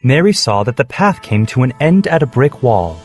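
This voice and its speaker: A man's voice: mid-range voice, big pitch swings, normal speed, a little mellow, husky, faint music behind. A man narrating a scripted story for children.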